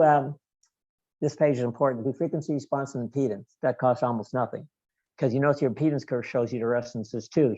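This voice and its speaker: Gravelly, mellow man explaining technical material. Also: mid-range voice, no room sound, highs cut off. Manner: halting, digressive, explanatory. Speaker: guest lecturer, loudspeaker engineer. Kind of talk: technical presentation with question and answer.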